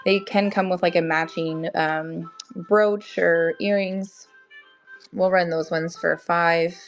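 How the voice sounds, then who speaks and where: A woman's voice: on the low side, fairly even intonation, slow. A young woman with a cold and a tired voice, chatting casually as she runs a live auction and greets viewers by name. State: has a cold, voice wearing thin. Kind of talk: live-stream selling chat. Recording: dull lo-fi stream with faint music.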